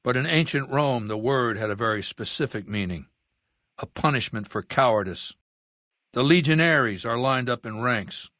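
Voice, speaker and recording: Ruthless dictator for a game. Erudite, measured, coldly imperious; mid-range voice, close dry sound. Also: male voice, medium pitch, expressive, pushed and bright, dry recording. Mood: cold, calculating. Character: ruthless, cultured warlord dictator in middle age. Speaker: video game villain. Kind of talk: dramatic game dialogue monologue.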